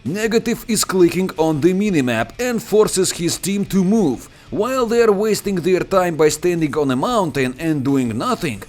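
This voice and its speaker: A man's voice: normal speed, few pauses, faint music behind. A man with a Russian accent, a native Russian speaker, narrating a tank battle replay in a playful, joking commentary style. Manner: playful, joking, expressive with sudden pitch jumps. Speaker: gameplay commentator. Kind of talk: scripted game commentary voice-over.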